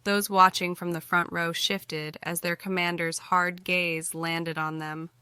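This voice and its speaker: A woman's voice: fairly low pitch, little pitch movement, measured pace, sharp tone, clean tone, dry recording. A woman reading scripted fiction narration for an audiobook.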